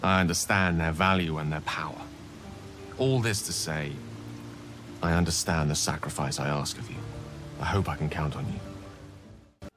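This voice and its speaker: An actor playing a courteous, learned wizard with a grave secret, speaking in formal, wordy video game dialogue. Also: male voice, a bass voice, a little slow, pauses often. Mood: earnest, persuasive. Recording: music and rain behind the voice. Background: music, rain.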